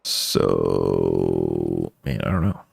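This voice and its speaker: A man's voice: a bass voice, very animated, extremely slow, gravelly, husky, dry recording. A man giving casual live game commentary, wry and muttering to himself as he plays. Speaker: live streamer.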